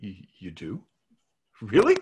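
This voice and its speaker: A man's voice: on the low side, huge pitch swings, slow pace, slightly rough, full character voice. A man playing an eager, hopelessly romantic forty-five-year-old radio host in a comedy table read. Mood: eager, hopeful. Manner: eager, pleading.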